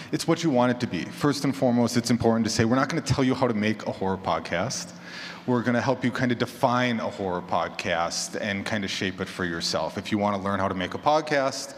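A man with a fairly low voice talks informally on a conference panel. His words ring through a hall with long reverb, over a light bed of room noise. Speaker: panelist, horror podcaster. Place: large indoor conference session room.

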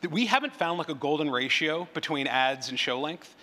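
Male presenter, informal and conversational, forceful bright delivery, slightly high pitch, big-hall reverb. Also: expressive, brisk. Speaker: conference speaker. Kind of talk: unscripted presentation and audience Q&A. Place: conference hall with audience.

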